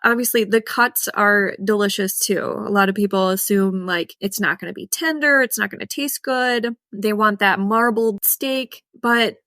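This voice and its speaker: Bright-voiced Midwestern woman farmer. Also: medium pitch, normal speed, few pauses, dry recording, studio quality. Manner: chatty and emphatic, laughs often. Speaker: co-host, cattle farmer. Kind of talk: casual podcast chat.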